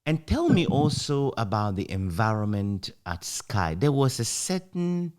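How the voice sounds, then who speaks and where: A man with a Ghanaian accent reminiscing in a conversational interview, speaking reflectively and haltingly, repeating phrases for emphasis. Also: mid-range voice, sing-song, a little mellow. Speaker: interviewer, author reminiscing with former colleague.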